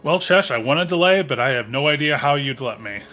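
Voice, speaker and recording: A man chatting casually as a player in a tabletop game, phrasing things haltingly. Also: mid-range voice, lively intonation, sharp tone, soft background music. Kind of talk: casual chat during a game.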